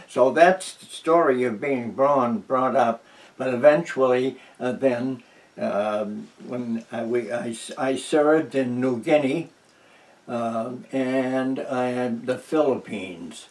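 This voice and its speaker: Very old man, slow and rambling. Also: mid-range voice, distant mic, low-quality recording. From New Hampshire. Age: 90s. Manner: rambling, many restarts and fillers, chuckles. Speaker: interviewee, veteran. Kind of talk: oral history interview. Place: at home.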